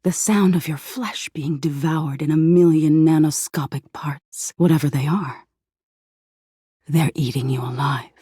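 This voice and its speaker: A low-voiced living nightmare from a video game, sinister and seductive. She draws her words out at an unhurried pace and toys with the listener in playful menace. Her dark, husky tone often sinks into breathy whispers. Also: no room sound, studio quality, exaggerated voice. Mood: menacing, creeping. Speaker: video game character voice actor. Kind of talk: theatrical video game voice acting.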